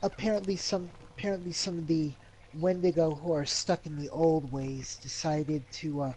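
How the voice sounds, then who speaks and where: A woman's voice: low-pitched, steady tone, normal speed, dark tone, rough recording. A woman playing an online tabletop role-playing game, hesitantly rephrasing in casual speech, with faint noise behind her. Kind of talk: casual in-character play.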